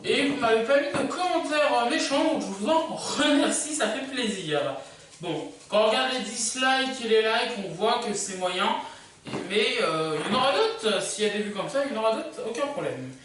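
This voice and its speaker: A video host giving a casual, unscripted countdown in a hesitant, halting way, often correcting themselves. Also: medium pitch, lively intonation, noisy background. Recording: very echoey room, far from mic.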